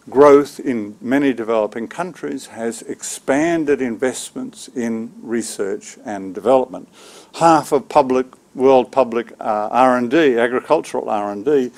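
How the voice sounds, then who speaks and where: Male, sixties, keynote lecturer at a research seminar. Medium pitch, measured and halting phrasing, podium mic. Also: a little mellow. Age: 60s. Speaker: keynote speaker, agricultural economics researcher. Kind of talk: academic seminar lecture from slides. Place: seminar room with small audience, indoors. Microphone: podium microphone.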